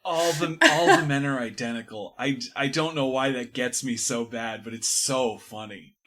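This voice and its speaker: Male podcast guest, bright forceful voice, effusive and given to hyperbole in casual literary discussion. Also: medium pitch, moderate pace.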